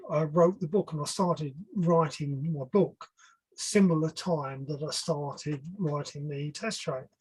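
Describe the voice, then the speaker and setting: An older man speaking informally as an engineer guest on a webinar, halting and often rephrasing himself. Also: on the high side, a little mellow, slightly rough, rough recording. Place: online video call.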